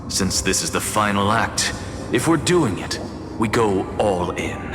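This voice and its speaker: An actor playing a proud, theatrical demigod scholar of reason in a video game, speaking in a measured, self-assured way. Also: male voice, on the low side, expressive. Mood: coolly resolute. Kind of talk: dramatic game voice acting. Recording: echoey hall reverb with loud music. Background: loud music.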